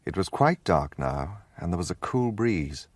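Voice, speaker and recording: A loyal, earnest gentleman telling a detective story in a radio drama. His voice is low with very lively intonation. The sound is close and dry. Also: a little slow, dry recording. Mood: measured, suspenseful. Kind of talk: radio drama narration and acting.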